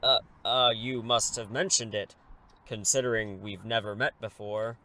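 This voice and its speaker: A man's voice: fairly low pitch, fairly even intonation, moderate pace, sharp tone, poor audio. A man playing a wordy superhero in his thirties who is secretly an English teacher, theatrical but easily flustered, in a comedic audio drama. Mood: awkward, sheepish. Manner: glib, wordy, awkward when cornered.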